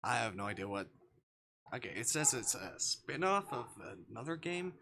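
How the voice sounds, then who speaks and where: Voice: medium pitch, big pitch swings, moderate pace, background noise. A game streamer chatting casually to viewers, hesitant and playful, often muttering to themselves. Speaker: livestreamer. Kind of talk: casual live game stream chat, reading on-screen dialogue aloud.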